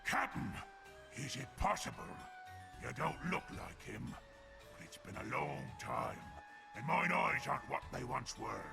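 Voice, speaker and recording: Gruff theatrical old pirate for a game; archaic speech, wildly swooping delivery, music underneath. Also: male voice, medium pitch, expressive, measured pace. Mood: wary, hopeful.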